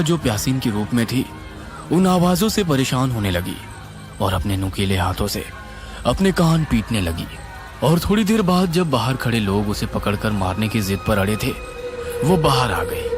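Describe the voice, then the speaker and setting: A man narrating a scripted horror story in a suspenseful, dramatic, expressive way. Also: on the low side. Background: music.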